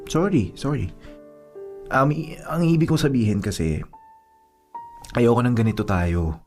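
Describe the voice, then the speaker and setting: A man's voice: mid-range voice, unhurried, darkish tone, lightly acted. A man playing a young boyfriend in a radio drama, pleading and stubborn, with music behind him. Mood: coaxing, apologetic. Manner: coaxing, argumentative. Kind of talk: radio drama acting in Tagalog.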